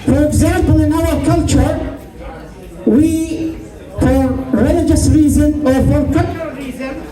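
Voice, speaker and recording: A man with a high voice, an imam addressing election candidates at a community event, speaking haltingly and repeating phrases as he finds his words. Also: fairly even intonation, mellow. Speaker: imam of a prayer center. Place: large indoor hall at a public event. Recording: echoey hall, distant mic, crowd murmur.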